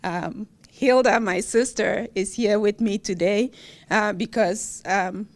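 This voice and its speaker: A woman's voice: medium pitch, takes it slowly. Professor, woman, middle-aged, Ghanaian accent; podium acceptance speech, cheerful, fillers and laughs. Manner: warm, laughs often, with fillers. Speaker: award-winning professor giving acceptance speech. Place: university event hall.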